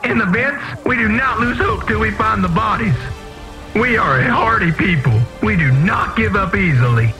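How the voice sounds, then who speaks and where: An actor playing an earnest, masked refugee from underground vents in a video game, pleading in dramatic, formal wording. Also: male voice, high-pitched, expressive, normal speed, bright, forceful tone, faint music behind, low-quality recording. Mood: grim.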